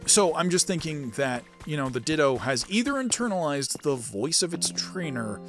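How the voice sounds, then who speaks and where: Animated high-pitched man thinking aloud. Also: normal speed, over music. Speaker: gaming video host and amateur voice actor. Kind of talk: casual gameplay commentary with read-aloud game text.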